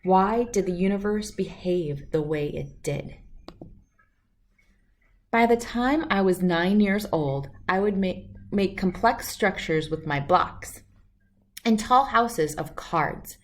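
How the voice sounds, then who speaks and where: A woman reading a children's storybook aloud in an expressive way, acting out the lines. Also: mid-range voice, takes it slowly. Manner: expressive, acts out character lines. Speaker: read-aloud host.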